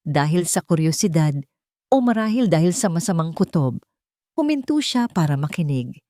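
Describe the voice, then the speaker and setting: A woman's voice: lowish voice, very animated, normal speed, dark tone, dry recording, clean studio sound. A woman reading a scripted, dramatic love story as a storyteller. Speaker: storyteller, narrator.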